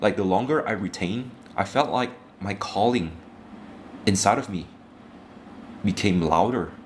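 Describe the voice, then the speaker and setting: A young man lectures his viewers in a blunt, profane rant, calling them "bro". His voice sits low, and a faint hiss runs under it. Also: measured pace. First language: Indonesian. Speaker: self-improvement vlogger.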